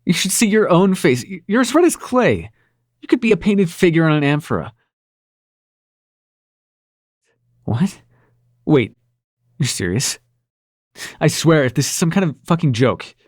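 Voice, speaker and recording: A man's voice: a little high, big pitch swings, dry recording, studio quality. A man playing a brash, flustered prince of nightmares in love, stammering and swearing through an intimate audio roleplay. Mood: teasing, giddy. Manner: halting, stammering, sweary and sardonic. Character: male supernatural prince of nightmares, brash, flustered, smitten lover.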